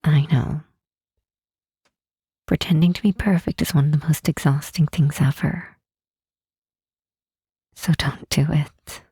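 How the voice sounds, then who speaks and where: A very breathy, hushed Demon Queen voiced by a woman offers comfort in an ASMR roleplay. Her voice is low and mellow, close to a whisper. She is gentle and earnest, soothing and reassuring throughout. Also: steady tone, takes it slowly, pauses often, no echo, full character voice. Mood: tender, reassuring. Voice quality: soft, breathy, husky half-whisper.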